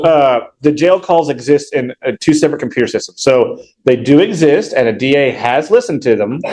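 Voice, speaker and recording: A man speaking as a defense attorney in court, explaining in a measured, somewhat halting way. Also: medium pitch, darkish tone, poor audio. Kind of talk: courtroom argument. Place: indoor courtroom.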